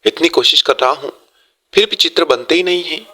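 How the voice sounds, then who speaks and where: A man's voice: on the high side, lively intonation, brisk, bright, forceful tone, breathy edge, heavily acted. A man voicing a poor, earnest, luckless young painter in a cartoon moral story, with a plaintive manner. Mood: frustrated.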